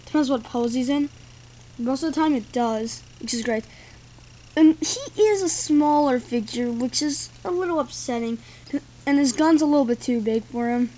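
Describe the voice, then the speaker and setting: A woman's voice: sits high, normal speed, mellow, slight background noise, low-quality recording. A woman giving a casual, rambling toy review from an indoor room, filmed on a tripod camera. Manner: rambling, loose, full of "like" and "thing". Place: indoor room with carpeted floor. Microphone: camera on a tripod, built-in mic.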